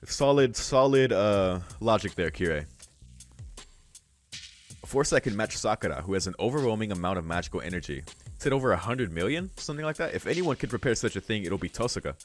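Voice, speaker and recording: A man's voice: on the low side, expressive, a little fast, soft background music. A man hosting a let's play, reading a visual novel aloud and adding informal, musing asides.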